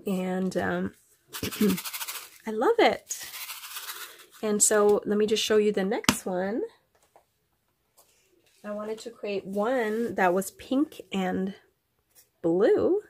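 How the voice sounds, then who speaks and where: Slow-talking woman with a nasal, stuffy voice. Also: medium pitch, noisy background. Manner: rambling, many ums, warm and chatty to viewers. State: stuffy from allergies. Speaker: craft vlogger. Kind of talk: casual show-and-tell chat.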